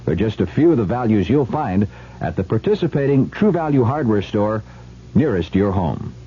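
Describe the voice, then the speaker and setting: A man's voice: fairly low pitch, big pitch swings, normal speed. A man reading a scripted 1970s radio advert as a commercial announcer. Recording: muffled, hissy old radio recording.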